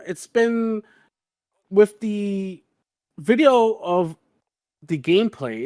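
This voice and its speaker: A man's voice: high-pitched, no room sound. Casual male podcast host, close dry sound. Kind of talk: casual chat.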